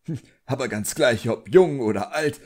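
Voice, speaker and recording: A man's voice: medium pitch, expressive, normal speed, a little mellow, voice pushed up, natural voice. A man voicing an irritable, contemptuous middle-aged wizard official in an audiobook, indignant, pompous and barking. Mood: pompous, threatening.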